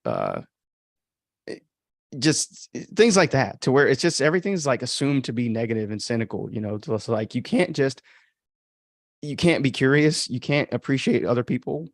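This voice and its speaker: A man's voice: mid-range voice, sing-song, moderate pace, vocal fry, no echo, clean studio sound. A man from the United States giving casual, opinionated, rambling livestream commentary as a podcast host. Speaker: podcast host and author commenting on own story.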